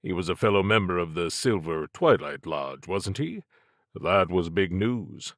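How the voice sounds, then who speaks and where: A man's voice: low voice, expressive, unhurried, a bit bright, dry recording, clean studio sound, full character voice. A male narrator voicing a blunt, accusatory investigator playing bad cop in an audiobook. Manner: pointed, accusatory. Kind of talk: audiobook character dialogue.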